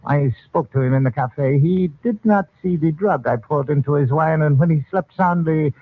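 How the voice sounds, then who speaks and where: An actor playing a devout, ceremonious Egyptian fortune-teller in archaic, reverent speech, in old-time radio drama style. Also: male voice, a little high, moderate pace, lightly acted. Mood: earnest, deferential. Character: solemn, devout Egyptian fortune-teller, loyal mystic brother. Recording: tinny band-limited old radio recording.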